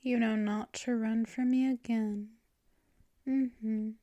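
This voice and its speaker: A woman playing a doting vampire mistress, whispery and very slow. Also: a little high, fairly even intonation, no echo, muffled recording. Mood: soothing. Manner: soft and soothing, drifting into whispers and shushing. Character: gentle female vampire mistress, doting and possessive. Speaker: ASMR performer. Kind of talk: ASMR roleplay.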